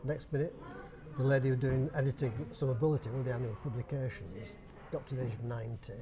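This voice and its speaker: An older man with a broad Yorkshire accent talks in a relaxed, good-humoured way, explaining things patiently. A crowd murmurs clearly in the background. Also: mid-range voice, fast talker. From Bradford, Yorkshire. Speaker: dialect society chairman, guest. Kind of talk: casual interview.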